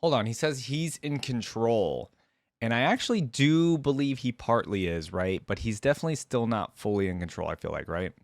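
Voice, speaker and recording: A man's voice: mid-range voice, lively intonation, normal speed, no echo, clean studio sound. A man giving casual reaction commentary, informal and musing aloud as he goes. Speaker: reaction video host.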